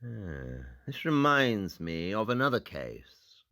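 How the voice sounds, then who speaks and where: Shrewd, self-assured male detective actor, audio drama; deliberate, theatrical, sharp voice with lively swings. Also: on the low side, no echo, studio quality, full character voice. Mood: pensive, intense.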